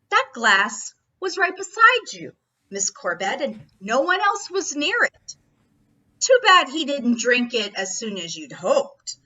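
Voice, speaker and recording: A woman playing a commanding, accusing police captain in an old-time radio play, deliberate and emphatic. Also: high-pitched, bright, forceful tone, dull audio, heavily acted.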